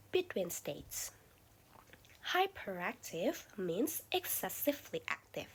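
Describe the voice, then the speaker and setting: Slow, lively-pitched woman presenting a lesson. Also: slight background noise. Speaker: student presenter. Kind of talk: class presentation.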